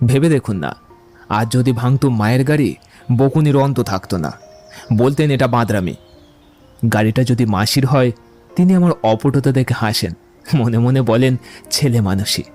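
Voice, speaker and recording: A man playing a witty, romantic young gentleman in a Bengali audio drama, eloquent, playful and courteous in a theatrical way. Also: mid-range voice, moderate pace, pauses often, faint music behind, lightly acted. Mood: playful, charming.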